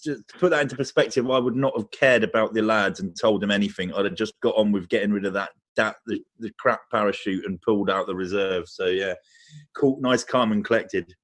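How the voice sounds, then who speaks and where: A man's voice: lowish voice, fairly quick, fairly bright tone. A man hosting a casual podcast interview, asking questions in a matey, informal way. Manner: matey, calls his guest "mate". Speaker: podcast host.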